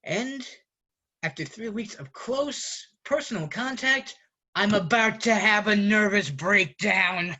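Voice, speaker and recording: A man playing an exasperated roommate ranting at his fussy friend in a deliberate theatrical monologue with dramatic pauses. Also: high-pitched, expressive, pushed and bright, lo-fi sound, full character voice. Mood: weary, dejected. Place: online video call performance.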